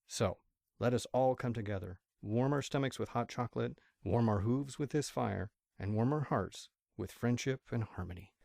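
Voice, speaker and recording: Man acting as creaky-voiced proud pony father. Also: mid-range voice, a little mellow, no echo, clean studio sound, lightly acted. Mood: proud, affectionate. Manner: measured, ceremonial speechmaking. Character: proud, warm pony father giving a holiday speech. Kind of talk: amateur audio drama acting.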